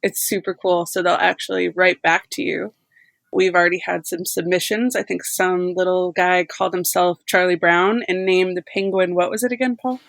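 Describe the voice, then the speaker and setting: Creaky-voiced woman in casual podcast chat. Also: fairly low pitch, fairly even intonation, normal speed, a bit bright, no echo. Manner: informal, peppered with "like". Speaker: podcast team member.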